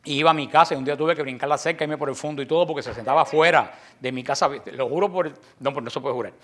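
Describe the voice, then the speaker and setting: Fiery Cuban man preaching to the faithful. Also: rapid-fire. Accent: Cuban Spanish. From Cuba. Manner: forceful, preachy, emphatic with sudden drops to a low voice, joking asides. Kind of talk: impassioned religious talk.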